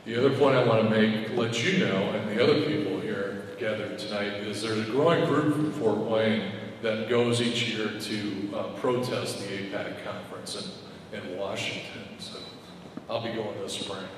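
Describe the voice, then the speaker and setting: An American man speaking up as an audience member at a public meeting. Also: normal speed. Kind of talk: making a prepared point from the audience. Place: large indoor public meeting space. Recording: boomy echoing hall, distant, noisy.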